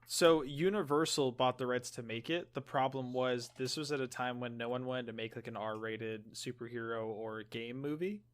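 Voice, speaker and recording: A man with a medium-pitched voice talks as a podcast co-host. His delivery is casual and informal, rambling through explanations as if chatting with friends. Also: steady tone, moderate pace, hardly any pauses, clean tone. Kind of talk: casual podcast chat about games.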